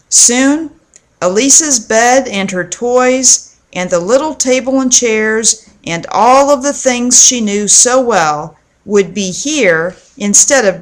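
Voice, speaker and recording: A woman narrating a children's storybook aloud in a measured, clearly phrased read-aloud delivery. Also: mid-range voice. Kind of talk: storybook narration with acted dialogue.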